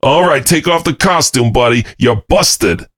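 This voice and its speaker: A man's voice: medium pitch, lively intonation, measured pace, fairly bright tone, no echo, studio quality. A man voicing an angry, foul-mouthed apartment caretaker who threatens someone with a shotgun. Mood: angry barking. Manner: gruff, foul-mouthed, barking.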